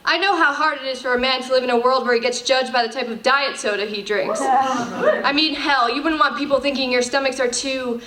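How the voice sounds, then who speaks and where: High-voiced, sarcastic female slam poet. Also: fairly quick, a crowd in the background. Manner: pointed, sarcastic. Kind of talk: performed slam poetry. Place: live poetry slam stage. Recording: echoey venue, faint noise.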